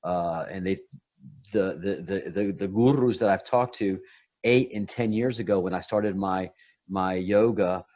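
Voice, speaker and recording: A man's voice: deep voice, measured pace, a little mellow, lo-fi sound. A man talking casually and at length on a meditation call, rambling with many restarts and repeated words. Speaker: guest on a meditation talk. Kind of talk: casual spiritual chat.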